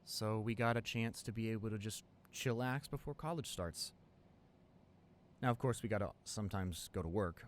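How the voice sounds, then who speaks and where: A man's voice: sits low, darkish tone, a little hiss or hum, natural voice. A man playing a devoted, hopeful college boyfriend in an improvised ASMR roleplay, talking earnestly and affectionately to a partner. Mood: relaxed, upbeat. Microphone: close studio condenser microphone.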